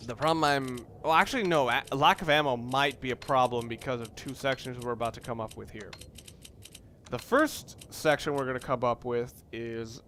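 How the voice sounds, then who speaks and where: A man's voice: mid-range voice, moderate pace, a bit bright, a little hiss or hum. A young man giving casual, rambling commentary over a video game and correcting himself as he goes. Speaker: let's play commentator.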